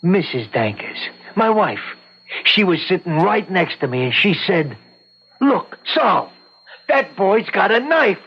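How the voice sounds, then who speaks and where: A man's voice: high-pitched, big pitch swings, slow pace, slightly rough, heavily acted. A man acting a talkative, stubborn eyewitness in his sixties, hesitant and folksy, in an old-time radio courtroom drama. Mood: stubborn, insistent. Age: 60s. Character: talkative sixty-seven-year-old male eyewitness, stubborn and plainspoken. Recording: dull lo-fi vintage radio recording.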